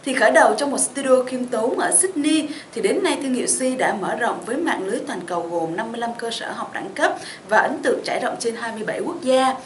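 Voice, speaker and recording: High-voiced young woman presenting, echoey room. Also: expressive, fast talker. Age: young adult. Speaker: study-abroad consultant presenting a promotional programme. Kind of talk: scripted presentation to camera. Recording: echoey room with faint noise.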